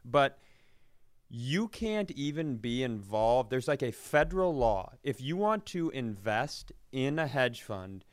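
A man's voice: mid-range voice, sing-song, slow, dry recording. A man hosting a comedy podcast, telling stories casually in a dry, self-deprecating way. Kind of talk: casual comic banter.

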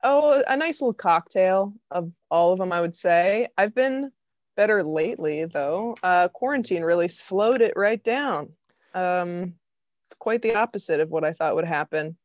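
An American woman from Vermont with a slightly low voice, caught on a somewhat dull, close microphone. She chats as a podcast guest, wry, informal and self-deprecating. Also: expressive, normal speed, a little mellow, no room sound. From Vermont, United States. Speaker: podcast guest, stand-up comedian. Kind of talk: casual chat.

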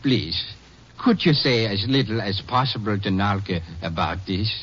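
Stubborn rancher, swooping pitch. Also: male voice, expressive, fairly bright tone, slightly rough, exaggerated voice. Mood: worried, pleading. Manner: halting, non-native phrasing, emphatic protests. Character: stubborn, indignant immigrant rancher, protective single father. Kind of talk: old-time radio drama acting. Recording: dull vintage radio broadcast, faint noise.

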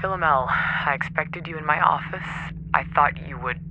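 An actor playing a cold, threatening boss, formal and measured, leaving a phone message in an audio drama. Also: male voice, fairly high pitch, creaky, dull audio, noisy background. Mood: cold threat. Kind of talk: naturalistic audio drama acting.

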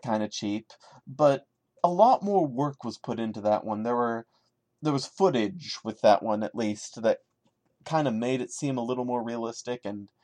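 A man's voice: mid-range voice, a little mellow. A man hosting a podcast, giving casual commentary on his own in an emphatic, mock-exasperated manner. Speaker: podcast host. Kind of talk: casual solo commentary and dramatic reading.

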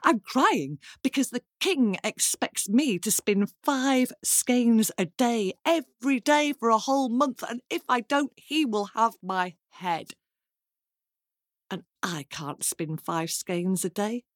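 A woman's voice: on the high side, takes it slowly, no room sound, studio quality. A woman voicing an anxious, despairing young girl from a storybook, plaintive and flustered. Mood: distressed. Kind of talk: storybook character voice.

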